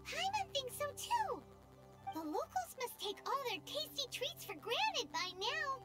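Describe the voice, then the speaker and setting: Brief: tiny squeaky game fairy, very high and animated, pushy and food-mad, with music behind. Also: female voice, a little slow, exaggerated voice. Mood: scheming. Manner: excitable, bossy, food-obsessed. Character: tiny chirpy floating fairy companion, food-obsessed. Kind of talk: game cutscene dub.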